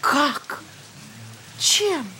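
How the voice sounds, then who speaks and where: An actress playing an effusive, sentimental society lady in a Russian audio drama, with a halting, melodramatic delivery. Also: bright, forceful tone, slight background noise. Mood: desperate.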